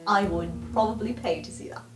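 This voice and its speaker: A woman voicing a dry, unimpressed student in an understated, hesitant, deadpan way. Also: medium pitch, a little fast, close to an everyday voice. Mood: hesitant, wry. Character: dry, awkward, unimpressed student named Mistake. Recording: roomy sound, music underneath.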